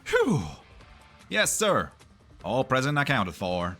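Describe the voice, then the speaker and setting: A man's voice: medium pitch, wildly swooping pitch, slow pace, bright, forceful tone, soft background music, exaggerated voice. A man playing a tense, suspicious fighter in an amateur sci-fi game fan dub. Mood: urgent.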